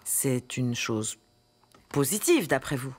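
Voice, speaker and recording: Timid employee, low husky voice. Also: female voice, big pitch swings, takes it slowly, darkish tone, full character voice. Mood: hesitant. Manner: hesitant, stammering, deferential. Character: timid, deferential single female employee. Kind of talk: satirical radio drama acting.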